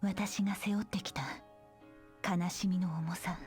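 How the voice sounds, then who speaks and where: An actress playing a solemn young woman soldier in a Japanese video game drama, speaking gravely with hushed phrase endings. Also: mid-range voice, fairly even intonation, brisk, faint music behind, natural voice. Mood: sorrowful, determined.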